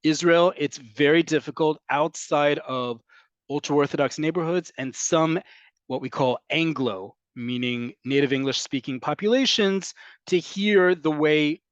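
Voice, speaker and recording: A man's voice: fairly high pitch, measured pace, clean tone, no echo, muffled recording. A man from North America teaching an online class over a video call, explaining at length in a conversational way with frequent ums. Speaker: Hebrew teacher.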